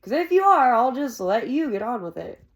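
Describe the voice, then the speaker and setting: A woman's voice: a little high, very animated, moderate pace, pitched above the performer's own voice. Woman voicing an emphatic old tavern storyteller man. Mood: sharp, indignant. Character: old male tavern storyteller, sharp, dramatic, proud.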